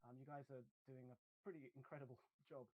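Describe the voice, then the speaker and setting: Quick-talking man interviewing over a video call. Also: medium pitch, fairly even intonation, background noise. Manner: friendly, chatty questioning.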